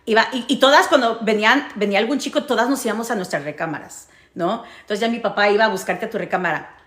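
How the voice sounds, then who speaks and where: A woman's voice: mid-range voice, fast talker, fairly bright tone, roomy sound. A woman with a Mexican Spanish accent tells a personal story casually to her followers, emphatically repeating phrases and checking in with her listeners. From United States, grew up in New Jersey. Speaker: storytelling vlogger.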